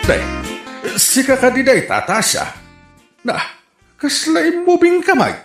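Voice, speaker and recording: A man's voice: extremely high pitch, wildly swooping pitch, echoey room, loud music behind the voice, exaggerated voice. Man acting a swooping, vain older uncle. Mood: flirty, amused. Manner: drawn-out, exaggerated delivery. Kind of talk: comedic radio drama acting.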